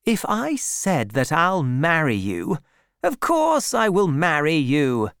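Woman acting out a male soldier, curt, evasive, firm, placating, raised pitch, storybook character. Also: very animated, slow, smooth, no room sound, clean studio sound, exaggerated voice. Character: young male army sergeant, curt, evasive sweetheart.

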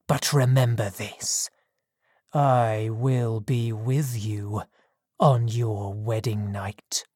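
A reader voicing a lonely, vengeful male monster with a slow, low, whispered menace. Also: fairly even intonation, husky, no room sound, clean studio sound. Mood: quiet menace. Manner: low hushed threatening delivery.

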